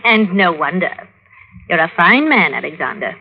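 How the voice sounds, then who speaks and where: A genteel, unruffled middle-aged wife in a vintage radio play. She is gracious yet politely bossy, with a lively lilt on a dull old recording. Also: on the low side, normal speed, breathy edge, exaggerated voice. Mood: gracious, composed. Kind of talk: old-time radio drama acting.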